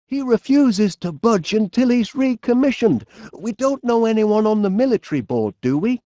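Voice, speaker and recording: An actor playing a fussy, cheerful, absent-minded middle-aged inventor with a Northern English accent, speaking in a bumbling, polite way for an animated comedy game. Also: male voice, high voice, moderate pace, dry recording, studio quality. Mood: chatty, mildly fretful. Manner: bumbling, hesitant, polite, chatty.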